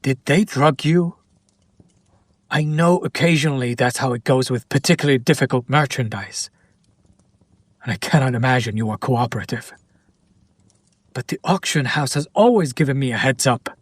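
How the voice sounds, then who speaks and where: A man playing a smug, possessive demon in an intimate roleplay audio drama, teasing and deliberate with breathy pauses. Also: mid-range voice, no room sound, lightly acted. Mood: wary, exasperated. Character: male demon buyer, smug, teasing, possessive, bemused by his captive.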